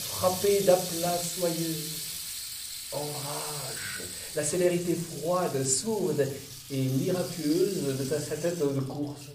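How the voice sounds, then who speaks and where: A man reciting a poetic dramatic monologue in a measured, deliberate way, with pauses between phrases. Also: a little high. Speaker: monologue performer. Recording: roomy, distant, noisy with water-like hiss.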